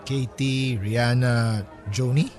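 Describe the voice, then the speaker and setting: A man plays an earnest younger brother in a radio drama. The voice is mid-pitched and guarded, asking questions a little defensively, with faint music behind. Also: fairly even intonation, slow pace, a little mellow, a faint crowd, natural voice. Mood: guarded, hurt. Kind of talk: Filipino radio-style drama dialogue.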